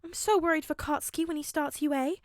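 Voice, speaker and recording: Woman playing a high-voiced fretful, gentle mother. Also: steady tone, fast, no room sound, clean studio sound, natural voice. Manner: fretting, soft-hearted. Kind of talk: audiobook character acting.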